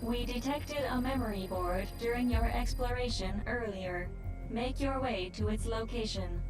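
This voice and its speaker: A polite female machine intelligence from a video game, played by a woman, set a little high in pitch. She explains calmly and earnestly in measured sentences. The voice sounds somewhat distant, with clear music underneath. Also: lightly acted. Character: polite female AI machine, calm mission guide. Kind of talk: scripted video game dialogue.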